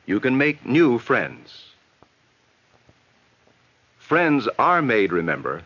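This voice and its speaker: An American man reading scripted, instructive narration in a measured, advising way for a 1960s educational film. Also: medium pitch, a bit bright. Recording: dull vintage 1960s film soundtrack.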